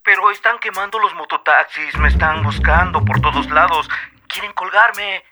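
A man's voice: high-pitched, moderate pace, over music. An actor playing a frightened man in hiding, pleading over a phone in a radio drama. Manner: pleading, panicky. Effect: phone call filter.

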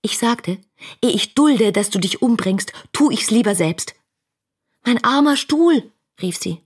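An actress playing a passionate young woman writer reading her letters in a radio play, intimate and fervent, with a husky, breathy voice. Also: on the high side, lively intonation, unhurried. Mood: agitated, vivid. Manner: fervent, intimate, literary storytelling. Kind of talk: dramatic radio play monologue.

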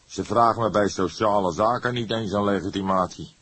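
A man's voice: fairly low pitch, fairly quick, fairly bright tone, slight background noise, pitched above the performer's own voice, close to an everyday voice. A man voicing an insolent, evasive young street man of about twenty, offhand and drawling. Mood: scornful.

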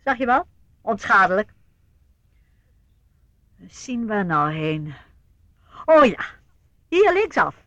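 An actress playing an unflappable older aunt in a radio play, chatty and brisk, reassuring the young visitors she shows around. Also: mid-range voice, big pitch swings, fairly bright tone, no room sound. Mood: calm, reassuring. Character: aunt showing young visitors round her old estate, unflappable.

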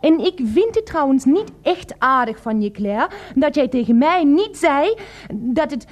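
A woman's voice: sits high, lively intonation, darkish tone. A naive, dreamy young woman. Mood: earnest. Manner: dreamy, halting. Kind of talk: 1990s radio comedy drama.

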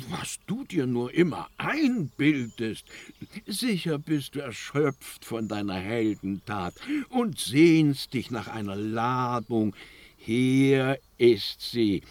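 An actor playing a scheming old dwarf smith in a fantasy radio play, fawning, flattering and full of feigned innocence. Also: male voice, mid-range voice, creaky, dry recording, heavily acted.

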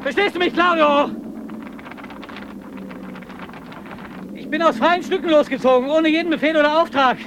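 A man's voice: very high-pitched, fairly even intonation, fast. A man playing a lone, brooding polar adventurer in a radio drama, intense and increasingly anxious. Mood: anxious, urgent. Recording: very noisy recording.

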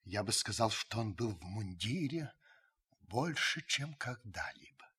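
An actor playing a grave, watchful older householder who narrates a radio drama, husky and breathy, with solemn dramatic pauses. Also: male voice, lowish voice, animated, sharp tone, heavily acted. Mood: solemn, tense. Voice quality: husky, breathy, sinking to whispers. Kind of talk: dramatic literary narration, old radio play.

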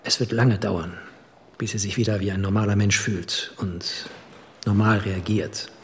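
An actor playing a sober hospital doctor in a radio play, measured, frank and considerate. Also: male voice, lowish voice, steady tone, slight background noise. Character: sober hospital doctor advising a patient's husband.

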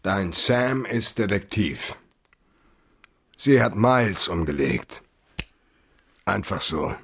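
Radio crime drama: a hard-boiled detective, very low and slow, blunt and cynical. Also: male voice, sing-song, gravelly, fairly bright tone, slightly rough, no echo, clean studio sound, heavily acted. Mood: wry, blunt.

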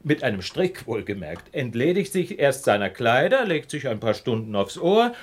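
A brilliant radio-play detective with a widely swinging, animated delivery. He lectures calmly and precisely, with polite condescension and dry irony, at a middling pitch. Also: normal speed, slightly rough. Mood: emphatic, lecturing. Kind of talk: German radio play acting.

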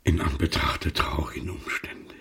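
A deep, husky, oily merchant. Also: male voice, moderate pace, a bit bright, full character voice. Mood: falsely sympathetic. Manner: insinuating, unctuous. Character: sly, oily male merchant and moneylender, falsely sympathetic. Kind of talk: German radio drama acting.

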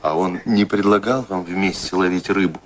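An actor playing a suave, self-assured rake who teases and woos in a radio drama. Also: male voice, sits low, steady tone, moderate pace, natural voice. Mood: teasing, confident. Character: teasing, self-assured charming rake wooing a woman.

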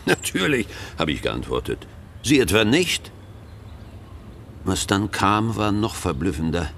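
A self-satisfied, pious pastor in a radio play, speaks low and with a creaky edge. He confides haltingly and with theatrical fervour, over faint background noise. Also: fairly bright tone, full character voice. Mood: earnest, insinuating. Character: zealous, self-satisfied male pastor recounting divine calling. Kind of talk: radio drama testimony.

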